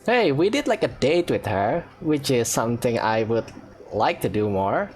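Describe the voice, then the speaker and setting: Lively-pitched man casually commentating a game. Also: normal speed, music in the background. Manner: off-the-cuff, reacting in short phrases. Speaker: gaming video host.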